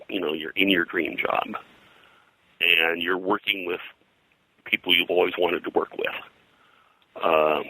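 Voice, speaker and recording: Deep-voiced older man, bright and forceful. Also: dry recording, low-quality recording. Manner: rambling, halting, repeats words. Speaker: interview guest, pop culture historian and pulp publisher. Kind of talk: reminiscing conversational interview.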